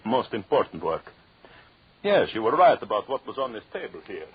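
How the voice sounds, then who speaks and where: An actor playing a jealous, murderous husband in an old radio drama, with a theatrical, mocking menace and formal phrasing. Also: male voice, on the low side, sing-song, normal speed, slightly rough, dry recording, heavily acted. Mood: mocking, gloating. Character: vengeful, jealous husband, cruelly gloating murderous anthropologist. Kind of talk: 1940s radio drama acting. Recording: dull, lo-fi old radio recording.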